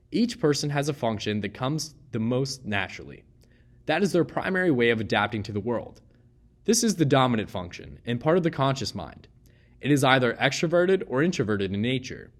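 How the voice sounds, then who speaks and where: A man reading a scripted educational narration in a measured, explanatory way. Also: mid-range voice.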